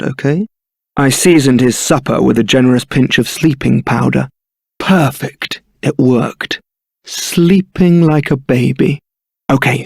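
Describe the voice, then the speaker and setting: An actor with a British accent playing a suave, roguish young templar knight in a video game, polite and teasing. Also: male voice, medium pitch, vocal fry, slightly rough, no room sound, clean studio sound. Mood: hushed conspiracy. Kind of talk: scripted video game character acting.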